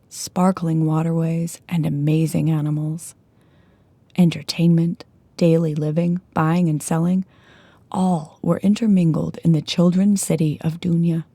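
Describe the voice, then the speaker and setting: A woman narrator reading a scripted fairy tale in a measured storybook delivery, with phrase endings falling to a hush. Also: on the low side, gravelly, husky, dry recording. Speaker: audiobook narrator. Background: music.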